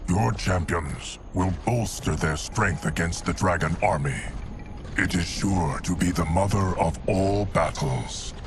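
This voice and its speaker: An actor playing a solemn, loyal, ancient guardian of time in a video game, speaking in a measured, formal way. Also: male voice, sits low, over music.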